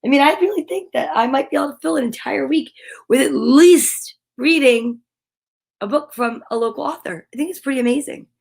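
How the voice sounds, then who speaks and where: Upbeat lady doing kids story time, kinda high voice, gushy and encouraging, chats to the viewers. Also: brisk, vocal fry, darkish tone. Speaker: host of a children's story time show. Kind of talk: live read-aloud mixed with chatty asides to viewers.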